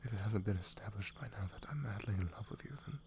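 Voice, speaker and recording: A man voicing a devoted, protective knight, soft, breathy and earnest, in a romantic ASMR fantasy roleplay. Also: fairly low pitch, fast, noisy background, lightly acted. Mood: tender, protective. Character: devoted young knight, protective, wary, tender lover.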